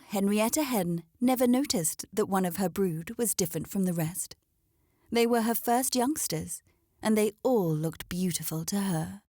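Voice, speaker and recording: A woman reading a scripted children's story aloud as an expressive narrator. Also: mid-range voice, no room sound, clean studio sound.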